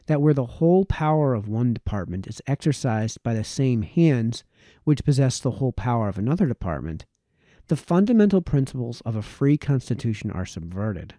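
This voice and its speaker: A man reads a formal, old-fashioned text aloud in a very warm, dark, relaxed voice. His pitch moves expressively as he shapes long sentences with deliberate emphasis. The sound is close and dry. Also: no echo. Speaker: audiobook reader.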